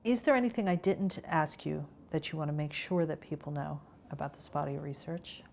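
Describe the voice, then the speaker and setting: A woman's voice: sits low, fairly quick, vocal fry, darkish tone, a little hiss or hum. A woman interviewer putting polite, open questions to a guest.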